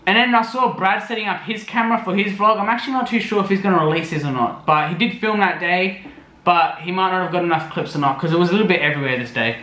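A man's voice: high voice, fast, bright, forceful tone, reverberant. A young man narrating a casual vlog voice-over, rambling with lots of fillers. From Australia. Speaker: vlogger.

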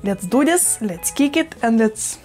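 A young woman whose first language is Russian, chatting quickly and gushing with plenty of exclamations while repotting plants at her desk in a small room full of plants. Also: a little high, expressive. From Russian-speaking, living in Lithuania. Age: young adult. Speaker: plant vlogger. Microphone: fixed camera at desk. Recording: faint background music under the voice. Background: soft background music.

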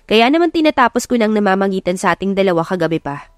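A woman's voice: medium pitch, very animated, fast, smooth, no room sound, studio quality, faint music behind, natural voice. A woman voicing an angry, defiant young woman hurt by a cheating boyfriend, emphatic and accusing, in an audiobook romance drama.

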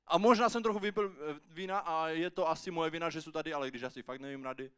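A man performing a pleading, rambling, apologetic homeless beggar in a rhymed slam poetry monologue, with halting repetitions. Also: fairly high pitch, steady tone, a bit bright, clear, resonant tone, voice pushed up, close to an everyday voice. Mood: rambling, desperate.

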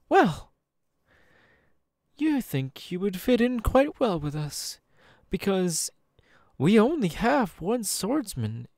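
A suave male demon, speaks with slow, swooping intonation. He is genteel and smug, full of false sympathy and theatrical pauses. The recording is dry, in an ASMR audio roleplay style. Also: high voice, a little mellow, no echo, exaggerated voice.

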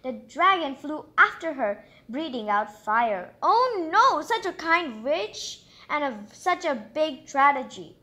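A woman reading a children's story aloud as host, excitable and exclaiming, breaking off into chatty, childlike asides. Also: sits high, takes it slowly, few pauses. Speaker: storybook reader and channel host.

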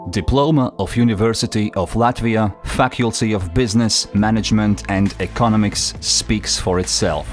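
A man narrating a promotional voice-over for a university faculty, formal and measured. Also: on the low side, faint music behind.

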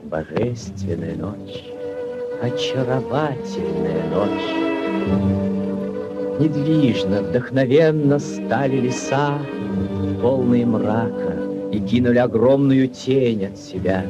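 An actor playing a rapt, lyrical storyteller, declaiming theatrically with long pauses in a 1940s radio drama. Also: male voice, medium pitch, wildly swooping pitch, muffled recording. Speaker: narrator. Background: prominent music.

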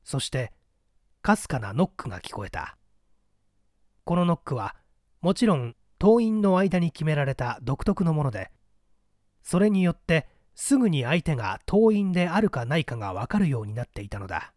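A man narrates a mystery story with a slightly high pitch and lively, varied intonation. The recording is close and dry, and his delivery is that of a dramatic audiobook reader. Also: normal speed, no echo.

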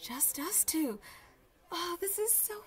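A woman's voice: very high-pitched, animated, takes it slowly, exaggerated voice. A young woman voice acting a lovestruck, chatty student gushing dramatically to friends in an audio roleplay. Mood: excited, giddy. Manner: gushing, dramatic, babbly, sighing. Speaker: voice actor. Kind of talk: audio roleplay, one-sided conversation with friends.

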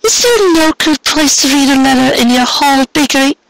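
Man putting on a high, coy young mare. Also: lively intonation, brisk, gravelly, a bit bright, dry recording, exaggerated voice, low-quality recording. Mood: coy, teasing. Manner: airy, affected, teasing.

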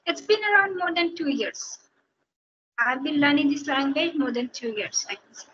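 A woman's voice: high voice, normal speed. A woman from Bihar with an Indian accent and native Hindi, explaining steadily as an English-teacher guest on a video call. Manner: steady, explanatory, repeats phrases while thinking. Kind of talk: casual interview on a video call. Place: small room indoors. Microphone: headphones on a video call. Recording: dull lo-fi video call audio with faint noise.

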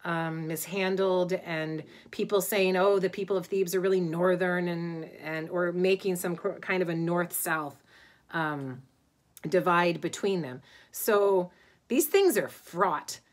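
Middle-aged woman talking into her laptop cam at home, lowish voice, lots of ums, thinking out loud. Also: takes it slowly. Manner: thoughtful, searching for words with many ums and restarts. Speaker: Egyptologist. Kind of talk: informal lecture to camera. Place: home study with bookshelves, indoors.

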